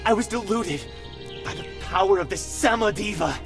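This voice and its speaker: A woman's voice: medium pitch, normal speed, over music, natural voice. A woman playing an earnest, pleading lover in a dramatic fantasy game cutscene. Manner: pleading, emotional.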